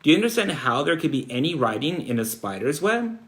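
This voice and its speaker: Guy reading as a stern worried farm mom in a kids storybook, anxious, pitch moves around a lot. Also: big pitch swings, a little fast. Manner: scolding, anxious. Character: worried, stern farm mother of a young daughter. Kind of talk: storybook read-aloud.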